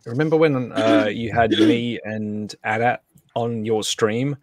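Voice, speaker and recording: A man's voice: fairly low pitch, fairly even intonation, slow pace, a little mellow, dry recording. A man hosting a casual livestream chat, talking in a rambling, conversational way. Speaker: host and co-creator. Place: indoor home setup on a group video call.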